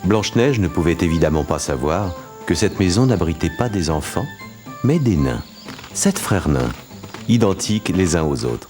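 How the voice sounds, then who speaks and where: A man's voice: low-pitched, very animated, moderate pace. A man narrating a fairy-tale cartoon as a storyteller. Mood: storytelling. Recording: music under the voice.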